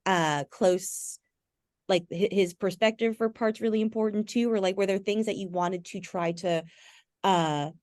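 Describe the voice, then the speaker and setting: Female interviewer, medium pitch, dry recording, casual and searching for words. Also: steady tone, a little slow, a little mellow, dry recording. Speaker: podcast host, interviewer.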